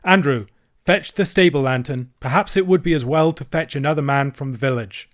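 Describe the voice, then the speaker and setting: A man voicing a proper, excitable yet resolute village vicar in a storybook, speaking in a genteel, earnest, commanding way. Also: fairly high pitch, brisk, close to an everyday voice. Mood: puzzled.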